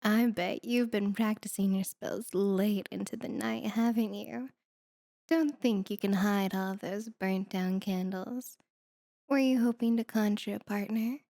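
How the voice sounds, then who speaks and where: A woman's voice: medium pitch, fairly even intonation, a little slow, gravelly, dark tone, no room sound, studio quality. A woman voicing a sardonic, teasing female demon with a condescending, flirty manner in an ASMR roleplay.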